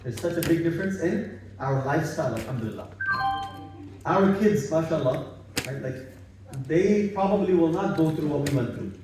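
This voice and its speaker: A man from Bangladesh giving a relaxed, informal religious talk at a home gathering, repeating himself and using many religious phrases. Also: a little high, normal speed, over music. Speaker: halaqah speaker. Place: home living room gathering. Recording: echoey room, far from mic.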